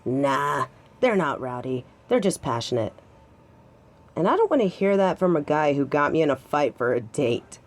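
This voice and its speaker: A woman's voice: deep voice, big pitch swings, normal speed. A woman playing a protective, stubborn young lover in an intimate audio drama, wry and conversational. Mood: wry, resolute. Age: young adult.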